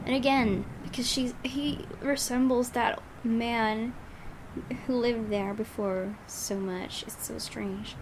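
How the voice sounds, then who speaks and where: A woman playing a game and reading its story aloud, thinking out loud as she puzzles over what is going on. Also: fairly high pitch, vocal fry, background noise. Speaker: game streamer. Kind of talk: Let's Play commentary and reading aloud.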